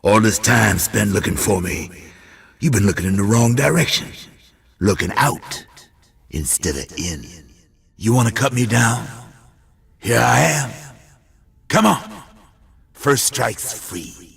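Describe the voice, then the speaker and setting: Taunting killer-god, video game villain, unhurried drawl, widely swinging pitch. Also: male voice, expressive, vocal fry, a bit bright, slightly rough, heavily acted. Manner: slow, taunting, theatrical drawl. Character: taunting, self-styled god, menacing cold-blooded killer, male.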